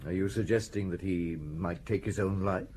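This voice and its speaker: An actor in an audio drama playing a shrewd chief inspector who questions people in a measured, blunt, politely insistent way. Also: male voice, sits low, a little mellow, a little hiss or hum, natural voice. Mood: probing, polite. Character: shrewd, probing male police chief inspector. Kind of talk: audio drama dialogue and first-person narration.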